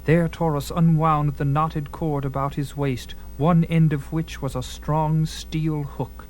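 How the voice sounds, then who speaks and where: A man's voice: on the high side, flat delivery, slow, a little hiss or hum, poor audio. A man giving theatrical, suspenseful narration of a dramatized story. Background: music.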